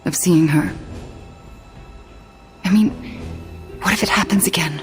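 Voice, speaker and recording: A woman's voice: on the low side, measured pace, faint music behind, natural voice. A woman playing an anxious young sorceress in a video game cutscene, casual and hesitant with a wry, weary edge. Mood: fearful.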